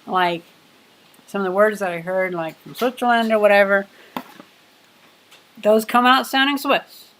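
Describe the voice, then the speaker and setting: A woman's voice: medium pitch, measured pace, long pauses, a bit bright, a little hiss or hum, poor audio. Rambling woman in her twenties, livestreaming. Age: 20s. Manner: rambling, chatty, many fillers. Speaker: livestream host. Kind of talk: casual livestream chat. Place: home workshop.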